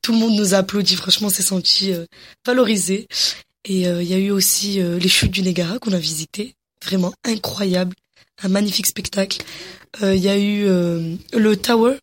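A young woman from Morocco with a husky, breathy voice. She talks with eager enthusiasm, full of hesitations and restarts. Her pitch sits at an ordinary middle height. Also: fairly even intonation, a little fast. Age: young adult. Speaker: interviewee, young entrepreneur. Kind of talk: casual interview answers.

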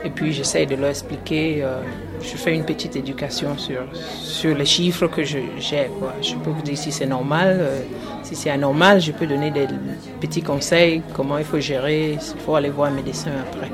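A woman's voice: low voice, fairly even intonation, moderate pace, over music. A woman nurse at a community health centre, explaining health advice in an interview, with hesitant fillers. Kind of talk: street interview answers. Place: indoor open-house event.

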